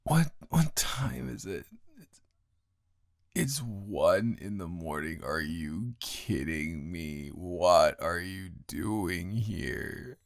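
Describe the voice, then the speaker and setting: A man with a very deep voice rambles as a grumpy but affectionate roommate in an improvised roleplay. He is playfully dramatic and exasperated, with plenty of fry in the voice. The sound is close and very dry. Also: extremely slow, dry recording. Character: grumpy but fond male roommate woken at night. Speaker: audio roleplay performer.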